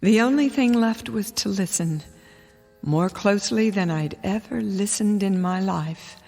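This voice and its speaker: A frightened yet defiant rich wife in a radio drama. Her delivery is tense, deliberate and theatrical, sinking toward whispers, at a middle pitch, with faint music underneath. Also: vocal fry, darkish tone. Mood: wary, resolute. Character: wealthy hard-of-hearing wife, frightened but steely and defiant. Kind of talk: dramatic first-person radio drama narration and dialogue.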